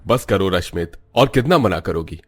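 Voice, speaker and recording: A man's voice: deep voice, expressive, normal speed, husky, pitched below the performer's own voice. A man playing a weary, reproving Punjabi husband in a cartoon family story. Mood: exasperated. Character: exasperated, deep-voiced Punjabi husband.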